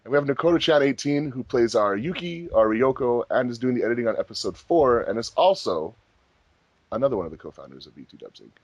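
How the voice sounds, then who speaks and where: A man's voice: medium pitch, lively intonation, fast, dry recording, dull audio. A man hosting a group commentary track in a casual, chatty style. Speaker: host of a fan dub commentary track.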